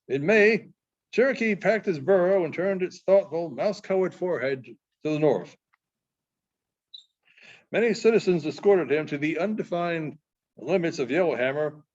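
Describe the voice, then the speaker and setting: A man reading a short story aloud, haltingly, stumbling over and rereading phrases. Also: on the high side, a little mellow. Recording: dull, muffled sound.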